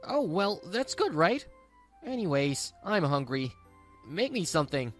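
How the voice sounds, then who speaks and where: Lady doing a lazy selfish husband in a manga dub, low voice that swoops around, whiny n smug, faint music. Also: big pitch swings, a little slow. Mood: demanding. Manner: whiny, condescending. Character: selfish, dismissive young husband, lazy and demanding.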